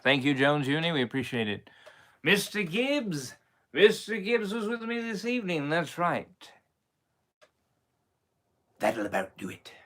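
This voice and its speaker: A man's voice: on the high side, sing-song, unhurried, long pauses, fairly bright tone. Man doing a pirate drawl on a live stream, pirate english accent, voice swoops all over. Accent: put-on pirate English. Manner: theatrical pirate drawl, playful. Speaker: impressionist streamer. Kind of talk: live stream shout-outs.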